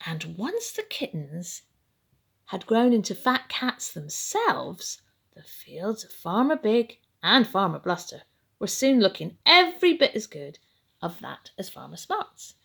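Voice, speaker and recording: Animated, gravelly-edged woman reading a bedtime story. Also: mid-range voice. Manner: dramatic, expressive, deliberately paced. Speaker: storybook reader.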